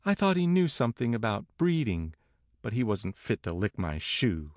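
A man's voice: fairly low pitch, sing-song, moderate pace, no echo, pitched above the performer's own voice. A man voicing a brassy, disillusioned married woman in an audiobook, emphatic and disdainful. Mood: scornful.

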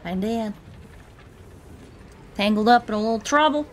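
A woman's voice: on the high side, a little slow, a little hiss or hum. A woman livestreamer with a Southern US accent, joking playfully in a folksy drawl as she comments on a game. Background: game sounds.

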